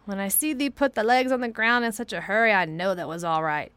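A woman's voice: on the high side, very animated, moderate pace, a bit bright, no echo, voice pushed up. A woman voicing a crabbed old male gardener in broad Yorkshire dialect, dry and blunt, as she reads a storybook aloud. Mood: gruff.

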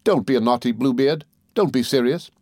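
A man's voice: mid-range voice, brisk, a little mellow, dry recording, clean studio sound, voice pushed up, close to an everyday voice. A man voicing a childish, tearful young wife in an audiobook, piteous, pleading and pouting. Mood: playful pleading. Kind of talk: audiobook character dialogue.

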